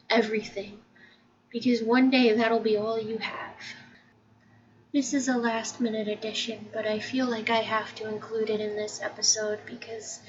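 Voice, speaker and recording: A young woman talking softly and sincerely in a personal monologue, close to tears at times. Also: fairly high pitch. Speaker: podcast host. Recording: distant mic, dull sound, steady background hiss.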